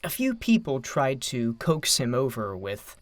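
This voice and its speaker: A man's voice: medium pitch, very animated, unhurried, no echo. A man narrating a scripted story as an audio drama narrator.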